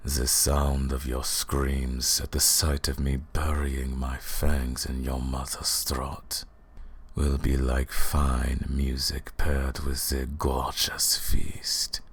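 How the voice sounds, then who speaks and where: Man acting a cavernously deep, cruel vampire lord. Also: completely flat delivery, full character voice. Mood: sadistic relish. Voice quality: gravelly, breathy, very deep. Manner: slow, deliberate, taunting, dropping into whispers. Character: cruel, mocking vampire lord, menacing and condescending. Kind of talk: ASMR roleplay monologue.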